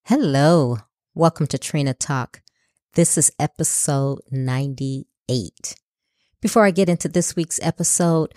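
A woman's voice: sits low, dry recording, clean studio sound. A woman hosting a podcast, reading an upbeat intro and interviewing a guest. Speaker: podcast host.

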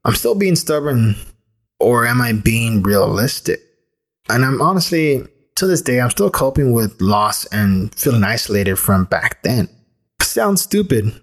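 A man in his thirties hosting a solo podcast, talking candidly and thinking aloud with restarts and the odd swear word. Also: fairly low pitch. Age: 30s. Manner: casual with occasional swearing. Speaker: podcast host. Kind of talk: solo reflective podcast monologue.

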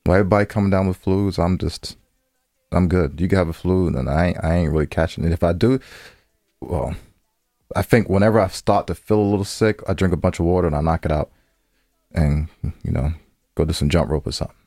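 A low-voiced man bantering casually as a podcast co-host. His talk is colloquial and teasing, and the sound is close and dry. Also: fairly quick, dark tone, no echo.

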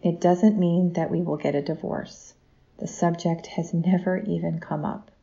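A woman's voice: fairly low pitch, flat delivery, moderate pace, gravelly, dark tone, lo-fi sound. A woman playing a caring but troubled middle-aged wife and mother in an audio drama. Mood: gently reassuring.

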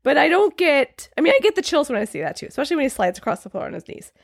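Woman, high voice, gravelly fry, dry close sound, casual enthusiastic film chat. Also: sing-song, racing, dry recording.

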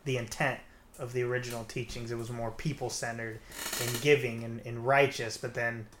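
A man's voice: medium pitch, little pitch movement, moderate pace, noisy background. An American man hosting a casual podcast chat, talking loosely with lots of fillers. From United States. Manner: loose, conversational, full of "like" fillers. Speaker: podcast host. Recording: echoey room.

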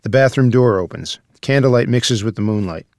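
A man's voice: mid-range voice, fairly quick, a little mellow, dry recording, studio quality. A man reading stage directions as scripted narration for a screenplay.

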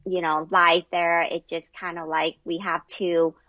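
A woman's voice: lowish voice, little pitch movement, measured pace, bright, forceful tone, no echo, highs cut off. A middle-aged woman from Vietnam, answering casually as an interview guest. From Vietnam, moved to the US at eleven.

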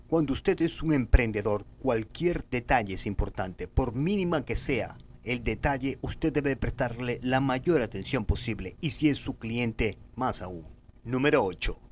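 A middle-aged man with a Venezuelan accent speaks as the host of a motivational podcast. His voice sits at an average male pitch. He delivers a prepared monologue in a formal, earnest way, exhorting the listener directly. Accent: Venezuelan Spanish.

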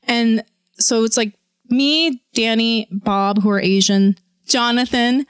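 Woman, higher pitch, animated profane storyteller, dry close mic. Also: slow pace, smooth, no room sound. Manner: animated, profane, acts out quotes. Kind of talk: casual storytelling chat.

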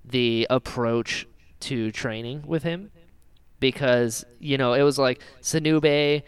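A man co-hosting a casual fan discussion, animated and jokey, acting out quotes as he goes. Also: mid-range voice, takes it slowly, clear, resonant tone, no room sound. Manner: animated, jokey, mimics characters in exaggerated voices. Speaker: podcast co-host.

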